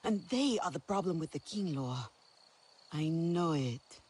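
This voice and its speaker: A woman playing an earnest, headstrong young alien warrior in a video game, with a husky voice. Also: sits low, expressive, measured pace, a little mellow, heavily acted. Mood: indignant. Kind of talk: dramatic video game acting.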